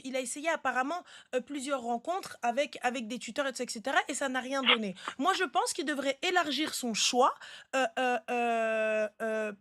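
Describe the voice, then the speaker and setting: A very high-voiced woman hosts a live advice chat in a close, dry sound. She is blunt and insistent, repeating herself to press her point as she talks casually to callers. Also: fairly bright tone, dry recording. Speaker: live stream host giving relationship advice.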